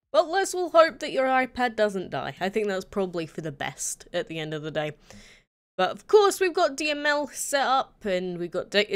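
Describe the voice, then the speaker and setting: A lively young woman in her late teens, her voice bouncing up and down as she rambles on a live stream, sprinkling in "uh" and "basically". She answers chat messages one after another in a loose, chatty way. Faint music plays behind her. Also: mid-range voice. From England. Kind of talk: casual live-stream chat, reading chat aloud.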